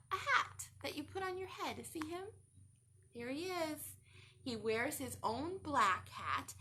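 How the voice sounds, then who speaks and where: A high-voiced woman reads a picture book to young children. She takes it slowly, with big swoops in pitch, and often turns to the listeners with questions. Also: slight background noise. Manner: expressive, asks the listeners questions. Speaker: children's storytime reader.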